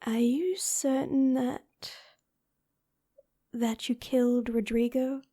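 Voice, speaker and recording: A woman playing a composed, persistent noblewoman in an audio drama, wry and probing in a measured way. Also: on the high side, steady tone, warm tone. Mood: cautious, probing.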